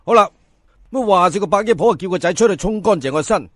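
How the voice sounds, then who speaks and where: A man's voice: high voice, animated, fast, a bit bright, no room sound, studio quality. A man narrating a folk tale in colloquial Cantonese as a storyteller, theatrical, addressing listeners and stretching words for emphasis.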